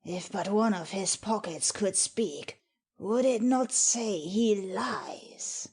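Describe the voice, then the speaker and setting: Woman as a husky, sardonic scheming nobleman. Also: mid-range voice, slow pace, a little mellow. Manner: dry, sardonic asides. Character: mocking, cynical scheming nobleman. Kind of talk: dramatic Shakespeare audiobook reading.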